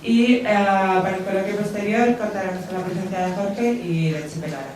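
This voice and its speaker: A woman's voice: medium pitch, fairly even intonation, moderate pace, background noise. A woman presenting a film screening and introducing the guests in a formal, announcing manner. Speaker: cinema presenter. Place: cinema hall. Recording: echoey hall from far off, audience around.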